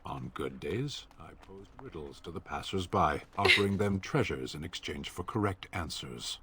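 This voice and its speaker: An extremely low-voiced man as a stoic giant warrior from a fantasy game. His delivery is terse and deadpan with a bright, firm edge, over faint background noise. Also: moderate pace. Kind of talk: video game dialogue acting.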